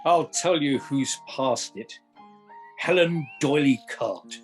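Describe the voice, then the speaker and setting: A man's voice: fairly high pitch, slow pace, soft background music. An elderly Victorian playwright played by a man in a radio drama. He is grumpy and a little pompous, delivering his complaints with indignant, dry wit. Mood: indignant, wry. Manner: indignant, pompous, dryly witty. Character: grumpy seventy-five-year-old Victorian playwright, curmudgeonly and wry. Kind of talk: radio play acting.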